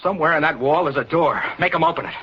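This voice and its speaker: A man's voice: a little high, quick pace, a little hiss or hum, close to an everyday voice. An actor playing a desperate, agitated captive man, urgent and pleading, in 1940s radio style. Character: desperate, agitated male captive, ordinary young guy. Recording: dull, lo-fi 1940s radio recording.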